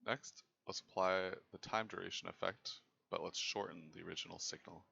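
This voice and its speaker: Low, gravelly young man presenting, dull recording. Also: steady tone, moderate pace, bright, forceful tone, dry recording. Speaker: presenter of a student software project. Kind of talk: scripted technical narration.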